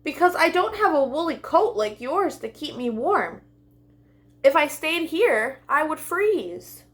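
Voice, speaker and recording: Woman voicing a polite, high-pitched butterfly. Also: very animated, a little slow. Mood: polite, firm. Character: polite male storybook butterfly, gently firm.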